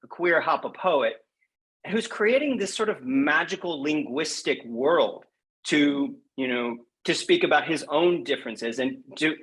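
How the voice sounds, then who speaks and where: A man's voice: on the high side, animated, a little slow, a bit bright, poor audio. A man speaking as a guest poet in a podcast interview, thoughtful and emphatic, often restating a point for weight.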